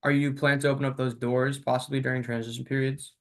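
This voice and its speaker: Brief: teen boy student, flat delivery, halting and rambling. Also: mid-range voice. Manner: hesitant, rambling, full of "like". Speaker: student asking a question. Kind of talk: casual questioning on a video call.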